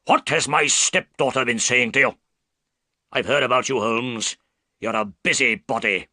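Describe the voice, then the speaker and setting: A man's voice: medium pitch, a little slow, sharp tone, no room sound, studio quality. A man voicing a huge, cruel and rude man who speaks in a menacing way.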